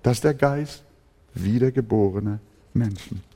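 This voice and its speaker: A man's voice: fairly low pitch, normal speed, vocal fry, warm tone, breathy edge. A man preaching a sermon as pastor, impassioned, sometimes mimicking grumbling churchgoers. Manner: impassioned preaching, acts out complaining voices. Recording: long hall reverb.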